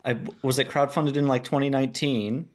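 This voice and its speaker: A man's voice: medium pitch, fairly even intonation, brisk. A man co-hosting a podcast, musing aloud as he builds up long questions for his guests. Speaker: co-host. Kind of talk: casual podcast chat.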